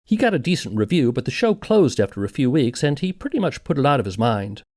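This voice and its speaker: A man's voice: mid-range voice, sing-song, fast talker, a little mellow. A man narrating a scripted documentary podcast as its host and interviewer.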